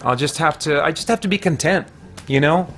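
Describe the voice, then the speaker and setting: A man in his forties giving casual livestream game commentary, halting as he thinks aloud. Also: fairly high pitch, fairly bright tone, soft background music. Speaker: video game streamer and podcast host. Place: small room at home, indoors.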